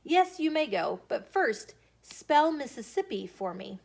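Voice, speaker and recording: A woman reading the part of an approving but exacting female classroom teacher in a children's storybook, giving measured instructions. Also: high-pitched, big pitch swings, gravelly, a little mellow, exaggerated voice.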